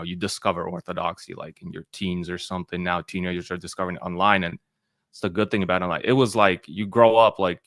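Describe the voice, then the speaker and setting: A young man, telling his story in a relaxed interview. He rambles, often restarting his sentences and filling pauses. The sound is dry and close, with almost no room echo. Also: low voice. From Western Ukraine. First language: Ukrainian. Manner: rambling, many restarts and fillers. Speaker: interview guest.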